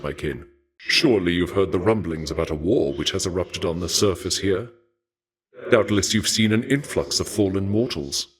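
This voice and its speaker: A man voicing a courteous, regal god of war in a video game, speaking formally in archaic, measured phrases with a dark fascination for death. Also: lowish voice. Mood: admiring, intrigued. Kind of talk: scripted video game character dialogue.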